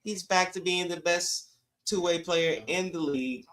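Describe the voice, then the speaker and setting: A man's voice: high voice, a little slow, fairly bright tone, a little hiss or hum. A man co-hosting a sports podcast, chatting casually in slangy, emphatic bursts.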